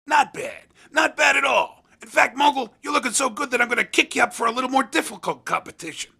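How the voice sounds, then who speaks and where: A man voicing a slick, pushy underground race recruiter in a video game, with a raspy voice and cocky, insinuating salesman patter. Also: extremely high pitch, fast talker, sharp tone, full character voice. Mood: excited approval. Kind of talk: video game character dialogue.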